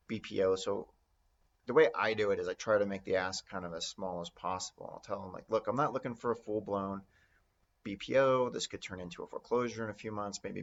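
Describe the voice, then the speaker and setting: A man's voice: lowish voice, muffled recording. A man giving informal, conversational instruction as an investing teacher. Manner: conversational, role-plays example phone calls. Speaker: real estate note investing instructor.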